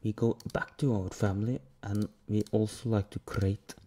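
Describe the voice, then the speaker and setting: A man hosting a software tutorial, talking through each step plainly with pauses over the sound of clicks and typing. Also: fairly low pitch, fairly even intonation. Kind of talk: screen-recorded software tutorial.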